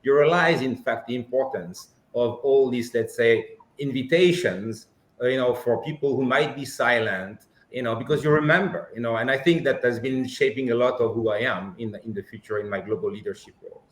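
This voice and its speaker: A man with a French accent, his first language Armenian, speaks reflectively as a panel guest. His voice sits at an ordinary middle pitch. The room gives a clear echo around him. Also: fairly quick. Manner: reflective, many restarts and "you know" fillers. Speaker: panel guest, business leader. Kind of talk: webinar panel discussion. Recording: roomy echo.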